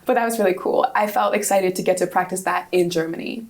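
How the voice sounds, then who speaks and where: A woman's voice: fairly low pitch, steady tone, quick pace. Young woman, hungarian is her first language, chatty and upbeat, keeps restarting her sentences. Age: 20s. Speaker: student guest. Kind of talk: casual interview answers.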